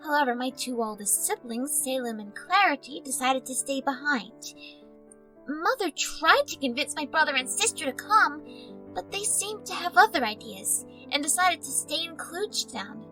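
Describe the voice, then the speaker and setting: A young cartoon creature girl who is new in town. She is guarded and dryly polite. Her high voice moves with lively pitch, over faint music. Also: moderate pace, poor audio. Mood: wistful. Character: young female newcomer girl creature, guarded, dry, well-spoken. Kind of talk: animated audio drama acting.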